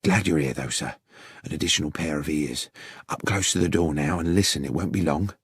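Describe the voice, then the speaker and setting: A man voicing a furtive, wry police detective in an audiobook, hushed, clipped and politely commanding. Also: rumbling low, brisk, husky, no room sound, clean studio sound, pitched below the performer's own voice, heavily acted. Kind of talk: audiobook character acting.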